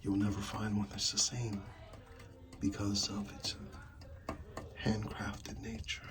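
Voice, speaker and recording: A man speaking softly in an ASMR roleplay as an art gallery dealer, pausing mid-phrase and often slipping into a whisper. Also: fairly low pitch, level tone, recorded from a distance. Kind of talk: soft-spoken ASMR roleplay, partly whispered.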